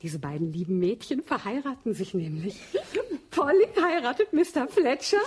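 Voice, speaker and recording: In this radio play, an older spinster lady gushes with warm condolences that carry a sly edge. Her voice sits a little high and sounds breathy. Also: big pitch swings, moderate pace. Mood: sympathetic. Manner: effusive, warm-hearted condolences with sly irony. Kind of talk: 1960s radio drama acting.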